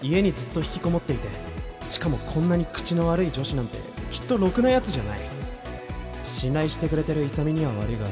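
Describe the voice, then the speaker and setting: A man's voice: on the high side, sing-song, fast talker, over music. A man playing an exasperated but caring teenage schoolboy in an anime-style radio drama, brusque and easily flustered. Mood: narrating wryly.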